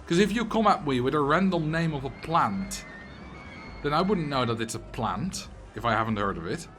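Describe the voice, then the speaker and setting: A man's voice: on the high side, animated, moderate pace, a bit bright, soft background music. A man with a Dutch accent, a streamer chatting casually and answering chat messages while he plays a game. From Netherlands.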